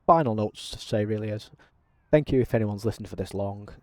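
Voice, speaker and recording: A man talking through a simulator game as a channel host, in a self-described monotone with frequent ums. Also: fairly low pitch, fairly quick, no room sound. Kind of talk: casual gameplay commentary.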